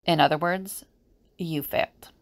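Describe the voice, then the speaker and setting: A woman's voice: sits low, steady tone, measured pace, dry recording. A woman playing an irritable, sceptical seer in an audio drama, with a dry, exasperated manner.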